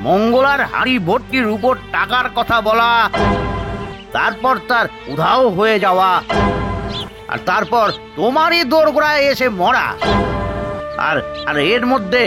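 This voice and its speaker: Man as high-pitched suspicious village neighbour. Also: exaggerated voice. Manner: hesitant, hemming, repetitive. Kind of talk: animated moral story acting.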